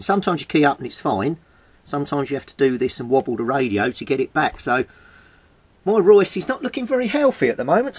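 A man's voice: mid-range voice, slightly rough. A British man giving an informal, explanatory repair update as a hobbyist, thinking aloud while he works with his hands. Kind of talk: casual explanatory vlog while handling equipment. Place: indoors at a home workbench.

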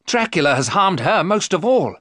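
Man acting an impassioned husband, high and loud. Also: very animated, normal speed, no echo, studio quality, heavily acted. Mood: angry. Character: impassioned young husband, hot-headed and loyal.

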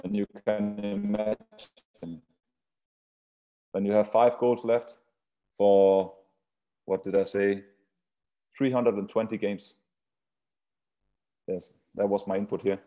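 A set-piece coach, a man whose first language is Swiss German, speaking haltingly with restarts on a webinar video call. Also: on the low side, steady tone, dark tone, dull audio, soft background music. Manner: halting non-native English with restarts. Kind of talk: webinar talk.

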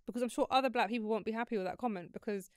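A young woman hosting a podcast, chatting casually and telling stories in a lively, slangy way from a studio. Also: on the high side, no room sound. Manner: fast, animated storytelling with slang. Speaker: podcast host. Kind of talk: casual chat. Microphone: studio mic on a boom arm.

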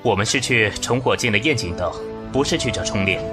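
A man's voice: lowish voice, animated, a little fast, loud music behind the voice, natural voice. A man playing a proud, stern swordsman in a Chinese wuxia audio drama, speaking curtly and with command. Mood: cool, challenging. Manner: curt, commanding.

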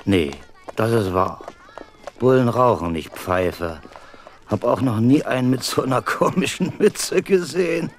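Man acting gruff coach driver, suspicious, slangy, unhurried, radio play, slight background noise. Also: mid-range voice, animated, close to an everyday voice. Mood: wary, brusque. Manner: slangy, blunt.

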